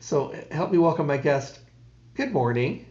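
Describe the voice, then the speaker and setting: A man's voice: fairly high pitch, expressive, measured pace, darkish tone, echoey room, dull audio. Folksy rambling male radio host. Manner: rambling, folksy, many restarts. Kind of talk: casual radio chat.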